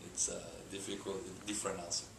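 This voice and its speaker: A middle-aged Spanish-accented man with a very low, gravelly voice talks in a flat, even way, stopping often to search for words. He is recorded in an echoey room with a steady hiss behind him. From Spain. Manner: halting, many filler "uh"s and restarts. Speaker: company president, interview guest. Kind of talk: hesitant unscripted interview answers.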